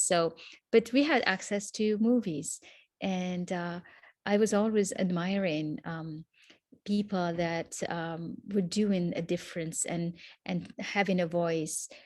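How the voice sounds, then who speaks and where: Woman in her fifties, low voice. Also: dry recording, studio quality. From Morocco. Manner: reflective, measured, with frequent restarts. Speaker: guest. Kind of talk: interview answers.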